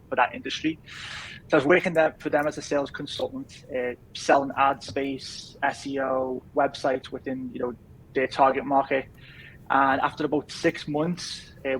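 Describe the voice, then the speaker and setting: A man in his thirties with a softened Liverpool accent talks in an easygoing, rambling way, with plenty of "uh"s. His pitch stays fairly level, with little rise and fall. A faint hiss sits underneath. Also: rough recording. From England. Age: 30s. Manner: easygoing, rambling with many fillers. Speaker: podcast guest, business founder. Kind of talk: casual interview chat.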